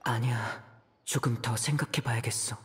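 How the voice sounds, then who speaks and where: A man playing a composed young traveler in a game, speaking calmly and reflectively. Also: mid-range voice, moderate pace, a little hiss or hum, natural voice. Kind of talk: scripted game character monologue.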